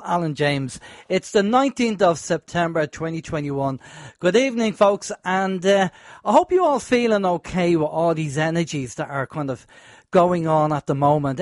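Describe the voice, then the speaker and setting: A man hosting a radio show, chatty and rambling, a bit hoarse from a sore throat. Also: a little high, no room sound, clean studio sound. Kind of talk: casual radio talk.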